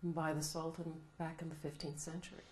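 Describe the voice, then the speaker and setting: Middle-aged American woman, low voice, thoughtful interviewee; roomy echo, light hiss. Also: little pitch movement, a little mellow. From United States. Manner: thoughtful, measured, laughs often. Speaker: law professor, interviewee. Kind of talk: reflective interview answers. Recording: roomy echo, faint noise.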